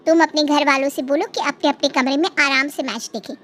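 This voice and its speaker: A woman's voice: sits high, steady tone, fairly quick, a bit bright, slight background noise. A woman voicing a haughty, spoiled young daughter-in-law in a story, snooty and complaining. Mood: scolding, annoyed. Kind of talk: dramatized Hindi moral storytelling.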